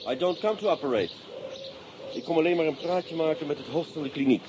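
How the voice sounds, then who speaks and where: An actor playing a brisk, wry police inspector with a Dutch accent in a radio drama. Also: male voice, on the high side, lightly acted. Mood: polite, dry. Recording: dull, noisy radio play recording.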